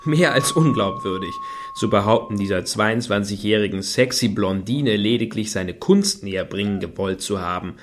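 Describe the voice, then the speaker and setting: A man's voice: fairly low pitch, close to an everyday voice. An actor playing a carping, indignant man in a satirical radio play, emphatic and sardonic. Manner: emphatic, sardonic, long fault-finding sentences. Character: carping, indignant male critic among an artist's entourage.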